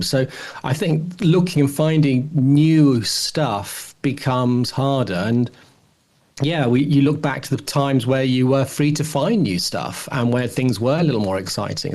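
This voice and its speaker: A man's voice: medium pitch, darkish tone. A man in his forties co-hosting a podcast, casually rambling through his opinions and thinking aloud with frequent false starts. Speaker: podcast co-host.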